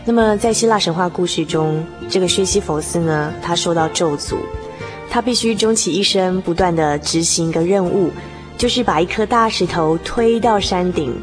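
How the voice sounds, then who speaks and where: A woman's voice: fairly low pitch, moderate pace. A woman hosting a radio program, presenting in a conversational style. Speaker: radio host. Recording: music behind.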